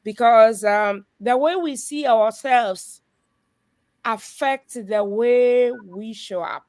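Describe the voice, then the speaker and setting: A woman speaks slowly and earnestly, her voice set a little high. She gives a testimony of faith with soft laughs along the way. The sound is dry and close. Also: dry recording. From Kenya. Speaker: guest. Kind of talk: faith-based conversation.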